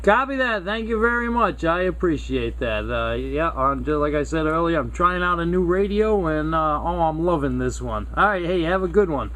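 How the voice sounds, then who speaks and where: A man's voice: on the high side, expressive, normal speed, hardly any pauses, a bit bright, rough recording. An American man chatting casually and courteously in radio jargon as a hobbyist on CB radio.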